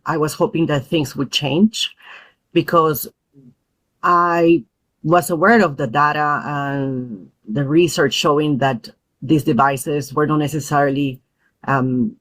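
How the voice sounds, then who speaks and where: A woman who grew up in Peru, a mother and parent advocate, speaking as a podcast guest in an earnest, thoughtful way with frequent pauses and fillers. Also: low-pitched, poor audio. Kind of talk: podcast interview.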